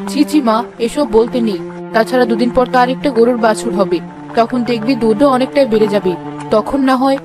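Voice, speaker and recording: A woman voicing a gentle village mother and wife in a cartoon fairy tale, soothing and mildly chiding. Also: a little high, normal speed, natural voice. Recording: music behind the voice.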